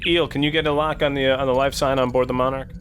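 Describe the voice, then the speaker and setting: A man playing a captain in a casual tabletop roleplay, talking in a halting, deliberate way. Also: mid-range voice, level tone, fairly bright tone, a little hiss or hum. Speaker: player acting as a starship captain.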